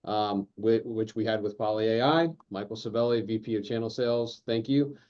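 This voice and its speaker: A man hosting a business interview, asking questions haltingly with repeated "you know" fillers. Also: on the low side, highs cut off. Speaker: interview host.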